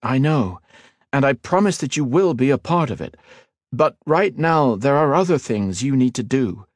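A man's voice: mid-range voice, animated, normal speed, no echo, pitched above the performer's own voice, close to an everyday voice. A man voicing Ethan, an earnest, modest young male hero in a fantasy audiobook.

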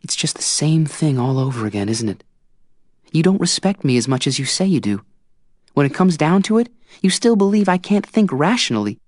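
A man voicing a stubborn sixteen-year-old girl, hurt and defiant, in a raised pitch. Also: animated, normal speed, husky, no echo, studio quality. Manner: raised pitch, tearful and pleading.

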